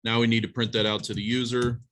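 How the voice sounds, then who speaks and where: Man, college instructor, bright crisp-edged tone, medium pitch, informal classroom teaching, plain-spoken, instructive, direct to students. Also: level tone, quick pace, slight background noise. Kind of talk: informal classroom lesson with live coding demonstration.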